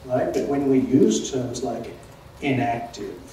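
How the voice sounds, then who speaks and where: An older man with a mellow, relaxed voice, explaining academic terms in a precise lecturing manner and speaking slowly. He stands in a lecture hall, heard from afar with a strong echo and faint noise. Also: medium pitch. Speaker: keynote speaker. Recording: echoey hall from a distance.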